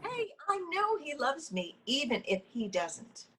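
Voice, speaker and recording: A woman's voice: high-pitched, huge pitch swings, measured pace, fairly bright tone, a little hiss or hum, exaggerated voice. A woman playing a frazzled, defensive, lovestruck goddess in a comedy table reading, flustered and insistent. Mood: nervous, defiant. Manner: flustered, insistent, nervous laughs. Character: frazzled, defensive, delusional lovestruck goddess Luella, meeting leader.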